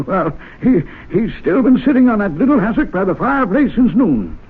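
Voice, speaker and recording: A fussy, excitable club gentleman. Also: high-pitched, moderate pace, slightly rough, full character voice. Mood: chatty. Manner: fussy, eager, rambling, given to excited outbursts. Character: excitable, fussy middle-aged male gentleman's club member. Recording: tinny old radio broadcast.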